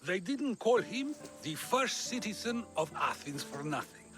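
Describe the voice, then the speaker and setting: An actor playing a thoughtful male philosopher in a video game cutscene, speaking in a measured way. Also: high-pitched, very animated, over music. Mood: calm. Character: older male philosopher, wise and questioning. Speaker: game character.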